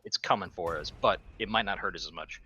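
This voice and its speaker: A man's voice: low-pitched, fast, pushed and bright, husky. A man playing a tabletop roleplaying game, chatting casually in a blunt, sweary way. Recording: faint music behind the voice.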